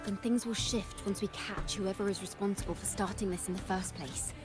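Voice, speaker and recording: A woman's voice: mid-range voice, fairly even intonation, fairly quick, lightly acted. A woman voicing a cheerful, poetic young female bard in a video game, with a theatrical, whimsical, lyrical delivery. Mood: earnest, hopeful. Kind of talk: scripted video game voice acting. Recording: game music behind the voice. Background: background music.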